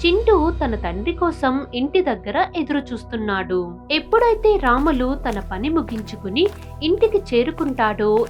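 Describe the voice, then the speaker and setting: A woman's voice: sits high, highs cut off. A woman narrating a scripted moral story expressively, as a storyteller does.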